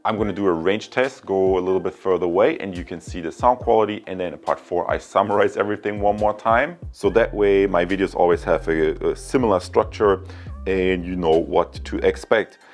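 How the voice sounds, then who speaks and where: A man's voice: low-pitched, a little fast, soft background music. A man talking casually and unscripted to viewers as a product reviewer, explaining things in a rambling, conversational way. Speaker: product reviewer, channel host.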